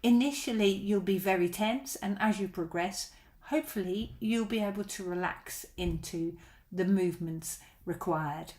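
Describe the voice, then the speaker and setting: A woman's voice: medium pitch, normal speed, darkish tone. A middle-aged woman whose first language is Dutch, a violin teacher chatting on a live stream and answering viewer questions in a reassuring way.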